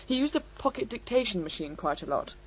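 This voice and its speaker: A woman's voice: medium pitch, sing-song, fast, breathy edge, poor audio. Pointed, scornful, composed woman. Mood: firm, explaining. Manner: pointed, articulate, firm. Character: bitter, composed, scornful daughter correcting the record. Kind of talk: radio drama acting.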